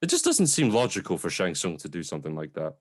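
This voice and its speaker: A young man with a low voice and a widely swinging, animated intonation talks films casually. He co-hosts, offering measured opinions and putting questions to his partner. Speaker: film review podcast co-host. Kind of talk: casual film discussion.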